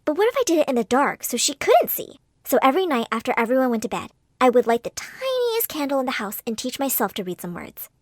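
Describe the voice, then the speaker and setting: A woman narrating a dramatic storytime tale and voicing the characters in it, in a theatrical, animated way. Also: sits high, fast, hardly any pauses, dry recording, clean studio sound.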